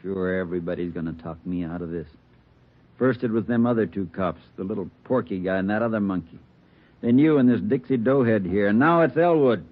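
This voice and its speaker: A man's voice: fairly low pitch, brisk, natural voice. An actor playing a hostile bomber in a 1940s radio crime drama, with slangy, sneering tough-guy talk and barked threats. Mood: sneering contempt. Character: hostile bomber holding city hall hostage, demanding his brother. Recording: muffled old 1940s radio recording.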